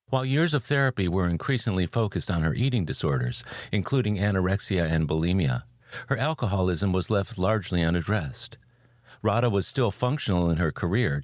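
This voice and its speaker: An older man hosting a recovery podcast, reading a measured scripted introduction and then chatting with a guest. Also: deep voice, no echo, studio quality. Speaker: podcast host.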